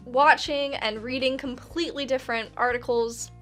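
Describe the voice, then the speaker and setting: A woman with a fairly high voice speaks candidly to her viewers as a video review host. Her delivery is earnest, casual and reflective. Faint music plays behind her. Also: steady tone, normal speed, fairly bright tone. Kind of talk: casual reflective talk to camera.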